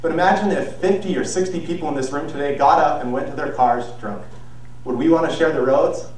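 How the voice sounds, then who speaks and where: A young man giving an earnest, measured persuasive speech on an auditorium stage, heard from a far camera. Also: fairly high pitch, darkish tone. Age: young adult. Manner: earnest, measured, with some restarts. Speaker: student speaker. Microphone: far camera, no visible mic. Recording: very echoey hall, distant mic, faint noise.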